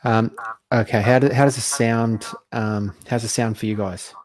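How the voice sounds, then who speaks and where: A man's voice: mid-range voice, steady tone, moderate pace, a crowd in the background. A man casually hosting a live online event, speaking in an affable, somewhat hesitant and informal way. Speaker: summit host. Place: online video call.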